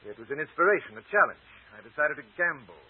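An actor playing a smooth, calculating blackmailing doctor in 1940s radio drama style, mock-polite, deliberate and condescending. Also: male voice, fairly high pitch, big pitch swings. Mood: sly, smug. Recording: muffled, lo-fi old radio recording.

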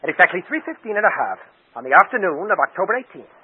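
A man's voice: sits high, big pitch swings, rapid-fire, breathy edge, full character voice. An actor playing a resentful, sarcastic male ballet dancer in an old-time radio drama, intense and defensive. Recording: tinny band-limited old radio recording.

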